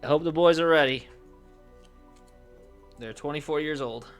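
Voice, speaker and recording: A man livestreaming casually, thinking aloud in a halting way that moves between muttering to himself and explaining. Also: on the high side, soft background music.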